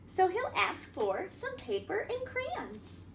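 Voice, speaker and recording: A middle-aged woman reading a picture book aloud as a children's storytime host, expressive and sing-song, talking directly to young children. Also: very high-pitched, slow pace. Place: indoor room at a wooden table by a window. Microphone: camera across the table. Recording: echoey room with background noise.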